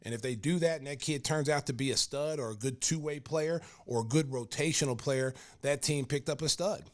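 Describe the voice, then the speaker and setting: An older man from Oklahoma hosts a sports podcast and talks loosely, piling on fillers and restarts as he spins stories. He laughs his way through anecdotes and sounds animated and at ease. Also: mid-range voice, a little fast. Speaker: podcast host, former pro basketball player. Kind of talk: casual sports talk and storytelling.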